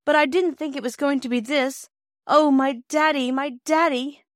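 A woman's voice: high voice, steady tone, normal speed, no echo, clean studio sound, voice pushed up, natural voice. A woman voicing an earnest, affectionate Edwardian girl in a storybook reading, with an emotional, emphatic way of exclaiming. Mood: joyful, tender. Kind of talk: storybook character voice in audiobook reading.

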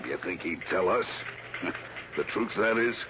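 An actor playing a gruff, sarcastic, suspicious police lieutenant in an old-time radio drama, talking in an accusatory way. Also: male voice, deep voice, expressive, a little slow. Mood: suspicious, confiding. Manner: accusatory, sardonic. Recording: dull, noisy old radio recording.

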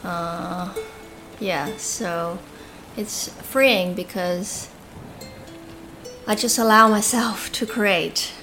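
A woman born in Hong Kong and raised in Australia, answering interview questions in a calm, thoughtful, soft-spoken way. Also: lowish voice, drawn-out words, faint music behind, rough recording. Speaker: guest artist.

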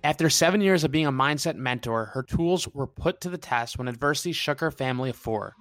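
A man's voice: medium pitch, lively intonation, brisk, a bit bright, dry recording, faint music behind. A man hosting a podcast, upbeat and enthusiastic as he introduces his guest. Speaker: podcast host.

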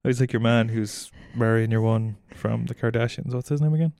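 An Irish podcast co-host chats casually with a mid-pitched voice in a dry recording. The talk is loose banter, full of teasing and swearing. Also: a little fast, gravelly, a little mellow, dry recording.

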